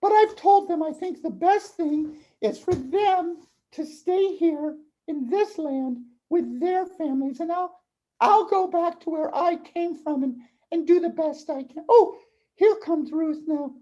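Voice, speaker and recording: A woman's voice: very high voice, unhurried, warm tone, muffled recording. A woman voicing a fretful, kindly older mother in a puppet show, hesitant and sighing as she speaks. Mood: sad, tender. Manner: hesitant, sighing, repeating words. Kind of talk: children's puppet show dialogue.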